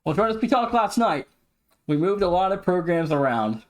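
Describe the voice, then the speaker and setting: A man's voice: sits high, very animated, brisk. A man co-hosting a sports podcast, casually running through standings and team updates. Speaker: podcast co-host.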